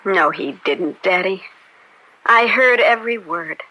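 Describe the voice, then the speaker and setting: An actress playing a judge's young daughter in an old-time radio drama, earnest, tender and devoted. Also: female voice, mid-range voice, expressive, a little slow, pushed and bright, low-quality recording. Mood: gently insistent.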